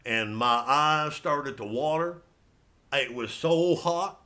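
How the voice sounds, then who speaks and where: A man's voice: fairly high pitch, pushed and bright. Folksy American man telling rambling comic stories. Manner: folksy, colloquial storyteller with drawn-out, meandering delivery. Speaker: stand-up comedian. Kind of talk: rambling comic storytelling monologue.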